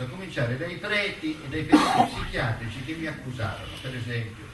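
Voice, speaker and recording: A man's voice: high voice, bright, forceful tone. An actor playing a wry, bitter intellectual on trial, narrating his case in a pointed, articulate theatrical way. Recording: roomy, distant stage recording with noise.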